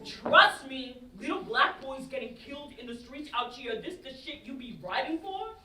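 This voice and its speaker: High, animated, exasperated young woman played by an actress. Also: moderate pace, a crowd in the background. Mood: indignant teasing. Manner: animated, slangy, emphatic. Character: exasperated, teasing young woman, slangy social media-savvy friend. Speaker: student actor in a staged reading. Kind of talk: live staged play reading, slangy dialogue. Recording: roomy live stage, distant mic, background noise.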